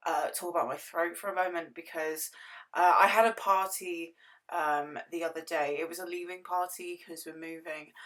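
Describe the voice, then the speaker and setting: A young woman with a hoarse, worn-out voice, chatting casually through a game build and correcting herself as she rambles. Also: on the low side, fairly bright tone. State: hoarse, lost voice. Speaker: gaming YouTuber.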